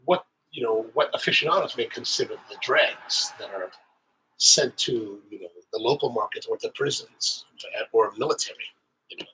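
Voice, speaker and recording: A man's voice: fairly high pitch, bright, forceful tone, a little hiss or hum, rough recording. A middle-aged man hosting a coffee channel, chatting casually while stuffy with the sniffles and sounding a bit nasal, rambling with many restarts. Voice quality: slightly nasal. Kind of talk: casual tasting talk to camera.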